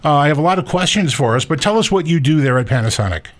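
A man's voice: a little high, lively intonation, fast. Brief: wry male co-host in his fifties, scratchy throat, casual banter. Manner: wry, complaining. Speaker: podcast co-host. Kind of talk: casual chat.

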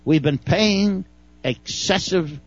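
A man's voice: sits high, slow pace, dry recording, muffled recording, faint music behind. A man with a Brooklyn accent giving folksy, wry legal commentary on a talk radio show, often stumbling and repeating words.

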